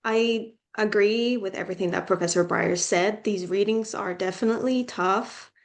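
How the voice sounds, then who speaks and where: A woman's voice: mid-range voice, normal speed. Young Finnish-speaking woman tutor, chatty. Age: young adult. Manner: chatty, frequent "like" and ums. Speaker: student tutor. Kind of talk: casual advice to students.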